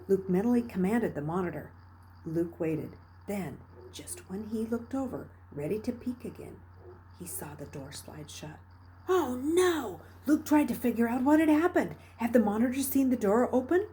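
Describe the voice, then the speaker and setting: A woman narrates a children's novel in a warm, mellow voice at a middle pitch. Her read-aloud style is expressive and dramatic, dropping into hushed phrases and rising into emphatic ones. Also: a little hiss or hum, poor audio.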